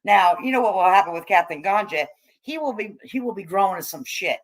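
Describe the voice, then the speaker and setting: A middle-aged or older American woman hosting a casual livestream, chatting with viewers in a salty, slangy, irreverent way on the slightly low side. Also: lively intonation, brisk, rough recording. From United States, raised among hippies. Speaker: livestream host reading and answering chat. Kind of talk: casual livestream chat, joking commentary.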